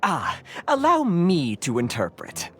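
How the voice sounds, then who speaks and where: A woman's voice: lowish voice, huge pitch swings, slow, exaggerated voice. A woman playing a reverent, formal and earnest card-game devotee who interprets for a cat in a video game. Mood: solemn, deferential. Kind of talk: scripted video game dialogue. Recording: faint music behind.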